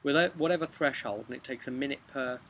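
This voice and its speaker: A man in his thirties with a bright, forward voice at an ordinary pitch, recapping a role-playing session. He talks conversationally and haltingly, as a game master thinking aloud. The recording is made outdoors, with faint ambient noise. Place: outdoors on a bench beside a brick house.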